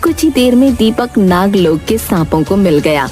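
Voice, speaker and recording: A woman storyteller reading scripted narration for a children's animated fairy tale. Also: medium pitch, normal speed, faint music behind.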